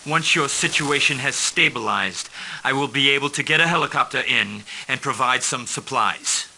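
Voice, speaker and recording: An actor playing a calm, formal male mercenary liaison who briefs a commander on missions in a video game. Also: mid-range voice, normal speed, poor audio. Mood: composed. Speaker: mission handler.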